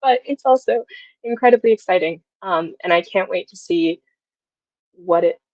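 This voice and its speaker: A woman in her twenties speaks as a guest in a casual interview, with a halting, reflective delivery. The sound is dry and close, a little dull in the top end. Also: lowish voice, a little mellow, dry recording. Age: 20s. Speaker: guest, audio drama writer.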